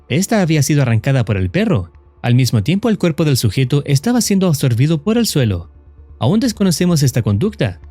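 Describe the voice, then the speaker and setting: A man with a slightly high but soft, mellow voice narrates a scripted horror explainer. His measured storytelling moves through a lively range of pitch. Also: dry recording.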